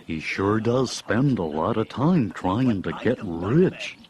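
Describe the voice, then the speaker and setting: A man voicing a good-natured, simple creature sidekick with a husky, homespun manner in a children's story. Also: lowish voice, expressive, slow pace, darkish tone. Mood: folksy, friendly. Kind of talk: children's story cassette voice acting.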